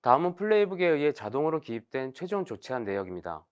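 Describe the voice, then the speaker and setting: A man in his thirties giving a formal, scripted technical webinar presentation in a measured, explanatory way. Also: fairly high pitch, sing-song, clean tone, muffled recording.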